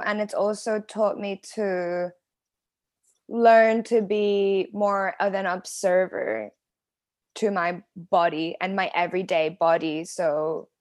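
A woman in her twenties from Venezuela, a podcast guest who chats casually with shy giggles and hesitant fillers. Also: medium pitch. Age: 20s. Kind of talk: casual interview chat.

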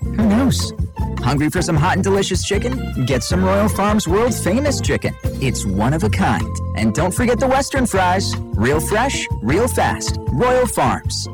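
Female commercial voice, slightly low with very lively intonation, scripted, clear music bed. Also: measured pace. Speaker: radio ad announcer. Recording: prominent music behind the voice.

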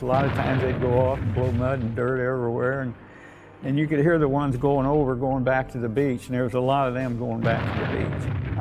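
An elderly man with an American accent, a war veteran telling his memories in a plain-spoken, halting way. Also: medium pitch, vocal fry, rough recording. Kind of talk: unscripted reminiscence.

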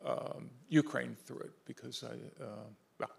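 A man's voice: medium pitch, steady tone, a little slow, vocal fry. A man answering a question as a panelist at a policy seminar, talking loosely with many fillers and restarts.

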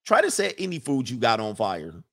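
A man's voice: medium pitch, wildly swooping pitch, moderate pace, a bit bright. A man hosting a livestream, rambling casually, reading chat comments aloud and laughing often. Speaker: livestream host.

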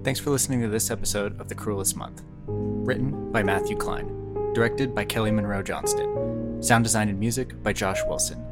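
A man's voice: fairly low pitch, fairly even intonation, moderate pace. A man reading a scripted podcast outro as the credits announcer. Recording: loud music under the voice.

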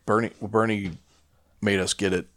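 A man co-hosting a podcast, chatting casually about films in a gravelly voice, rambling and swearing freely. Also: lowish voice, little pitch movement, moderate pace, a bit bright, no echo. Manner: profane, rambling. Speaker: podcast co-host.

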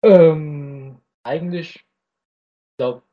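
A man's voice: fairly high pitch, extremely slow, lo-fi sound. A nineteen-year-old man from Thailand, a podcast guest chatting casually and hesitantly, with plenty of fillers. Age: teens. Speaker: podcast guest, anime YouTuber.

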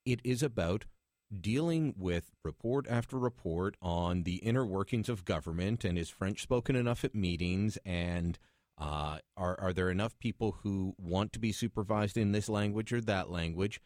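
Male talk radio host, lowish pitch, dry close mic, argumentative. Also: normal speed, a little mellow, no echo. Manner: argumentative, pointed. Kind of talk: opinionated call-in radio talk.

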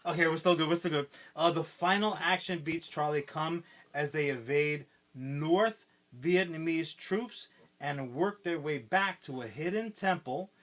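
A man's voice: fairly high pitch, a little slow, a bit bright. A man co-hosting a podcast, casually retelling a movie plot in a rambling way, with constant swearing and lots of fillers. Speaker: podcast co-host.

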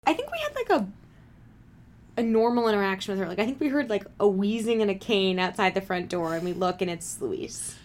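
A woman recounting a story in casual chat, with a relaxed, filler-heavy delivery. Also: medium pitch, fairly quick. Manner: casual, lots of "like". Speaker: guest storyteller.

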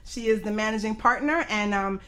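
A woman's voice: mid-range voice, brisk, a bit bright. A woman hosting a casual talk radio show as a coach, chatty, informal and emphatic.

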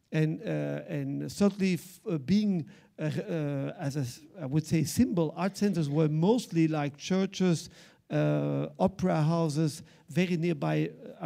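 A middle-aged man with a Flemish accent, an arts center director speaking at a panel, halting with many fillers and restarts. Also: fairly high pitch, creaky, mellow. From Belgium. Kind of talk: panel discussion remarks.

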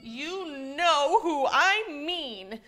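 A woman's voice: sits high, animated, drawn-out words, a bit bright, faint music behind, full character voice. A woman playing a haughty, jealous young wife in a theatrical reading of a period comic ghost story. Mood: indignant. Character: indignant jealous young wife, melodramatic narrator of a ghost comedy.

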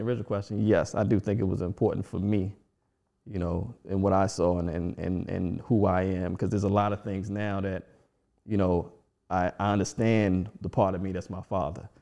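A man's voice: low voice, fairly even intonation, moderate pace, vocal fry, mellow. A man in his forties talking casually and reflectively as a guest, with frequent restarts and fillers. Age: 40s. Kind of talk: casual conversation.

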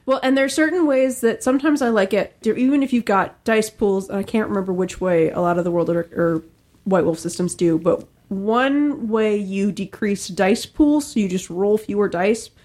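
A woman's voice: medium pitch, moderate pace, a little mellow. A woman in a casual podcast discussion, thinking aloud and qualifying her points as she goes. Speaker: podcast panelist.

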